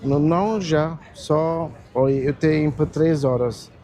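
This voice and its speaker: Halting Portuguese man guiding tuk-tuk tours. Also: on the high side, mellow. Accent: Portuguese with German influence. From Germany. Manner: halting, repeats words, friendly. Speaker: tuk-tuk tour guide. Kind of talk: casual chat. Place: outdoors on a Lisbon street.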